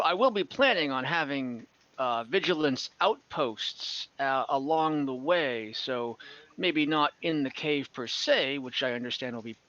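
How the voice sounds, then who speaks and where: A man improvises a tired, polite male leader for a tabletop fantasy game. The voice is bright and forward at a middle pitch. He hesitates, rambles and corrects himself diplomatically, over somewhat muffled online audio. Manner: halting, self-correcting, rambling. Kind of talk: improvised in-character tabletop roleplay.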